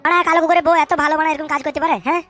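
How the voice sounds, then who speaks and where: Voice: sits high, flat delivery, racing, fairly bright tone, faint music behind. An actor voicing a crude, lovestruck cartoon sidekick, defensive and shifty, in speech, blustering and stammering when flustered. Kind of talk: comic cartoon dub with street slang.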